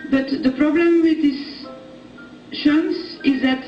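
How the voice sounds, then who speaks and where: A woman's voice: high-pitched, steady tone, slow. A woman from Belgium, giving personal testimony. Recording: echoey, distant, dull sound with music.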